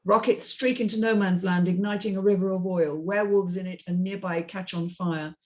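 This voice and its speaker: A woman's voice: mid-range voice, fairly even intonation, a little fast. A woman narrating the action lines of a screenplay as host of a script reading.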